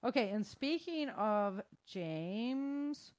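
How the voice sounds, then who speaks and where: A woman's voice: fairly high pitch, lively intonation, extremely slow, no echo. A woman hosting a podcast, running through news in a casual, conversational way and speaking informally to listeners. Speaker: podcast host. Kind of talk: casual news roundup on disasters and weather.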